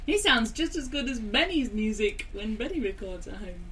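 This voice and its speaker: A woman's voice: a little high, animated, moderate pace, a bit bright, distant mic, slight background noise. British woman, chatty co-host, slightly off mic. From Britain. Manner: chatty, teasing. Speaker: radio show co-host. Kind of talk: casual banter.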